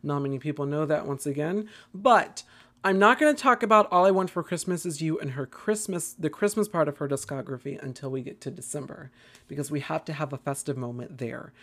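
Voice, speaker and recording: A woman's voice: low voice, fairly quick, fairly bright tone. A woman hosting a solo podcast in a casual, rambling way, with a gushing, theatrical and emphatic manner. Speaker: podcast host.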